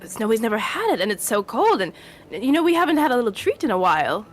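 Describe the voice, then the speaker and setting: A woman playing a polite, gently coaxing male adventurer in a tabletop audio drama, casual in delivery. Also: a little high, very animated, quick pace.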